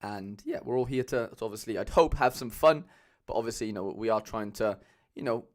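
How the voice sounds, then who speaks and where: A young man with a Cardiff accent chatting casually as a livestream host, loose and rambling with lots of fillers, speaking into a mic on a boom arm at home. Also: fairly quick. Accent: Cardiff Welsh. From Wales. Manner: chatty, loose, many fillers, calls viewers lads.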